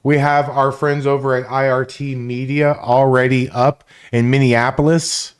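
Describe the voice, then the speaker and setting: A man's voice: medium pitch, level tone, a little slow, clean tone. A man from Florida hosting a casual live stream, rambling, greeting chat viewers by name and swearing freely. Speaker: livestream host.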